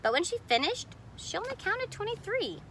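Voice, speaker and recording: A woman's voice: very high-pitched, very animated, normal speed, a bit bright, slight background noise. A woman reading a picture book aloud expressively, explaining details to young listeners in casual asides. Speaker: storybook reader.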